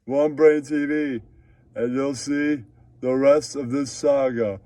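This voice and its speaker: A man's voice: mid-range voice, fairly even intonation, slow, mellow, no room sound. A man performing a crude, improvised puppet-show monologue, rambling and repeating catchphrases.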